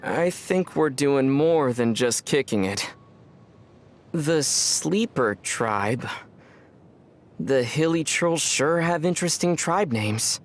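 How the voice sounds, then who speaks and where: A man playing a young traveler hero in a video game, calm and wry, with a dry, teasing manner. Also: a little high, slow. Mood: dry, musing.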